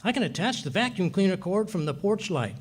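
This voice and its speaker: Cheeky, boastful radio-comedy husband played by a man, high voice, swooping delivery, folksy wisecracks. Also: expressive, a little fast. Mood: wry. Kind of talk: 1940s radio comedy acting.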